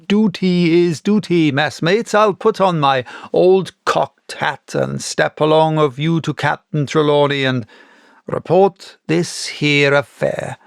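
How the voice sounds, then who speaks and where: Man performing a boisterous old seadog innkeeper, salty talk, raised gravelly voice. Storybook character. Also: fairly high pitch, no room sound, studio quality, exaggerated voice. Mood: hearty, frank. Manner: salty seafaring dialect, exclamatory. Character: boisterous one-legged old sailor turned tavern keeper. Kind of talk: audiobook character voice.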